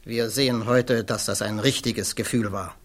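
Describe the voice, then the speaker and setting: An actor playing an irreverent, blunt physics professor giving testimony in a radio play, wry and outspoken. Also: male voice, lowish voice, steady tone, moderate pace, fairly bright tone. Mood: frank. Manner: wry, outspoken, swears casually.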